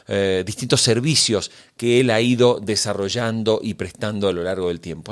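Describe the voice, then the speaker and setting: Man hosting an interview podcast. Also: medium pitch. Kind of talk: conversational podcast presenting and interviewing.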